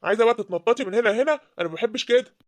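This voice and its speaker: Woman doing a bossy egyptian dad, harsh, talks super fast, middle pitch. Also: lively intonation, darkish tone, lightly acted. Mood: domineering. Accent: Egyptian Arabic. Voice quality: harsh, commanding, dismissive. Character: stern domineering Egyptian father, middle-aged man. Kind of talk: dramatized family scene.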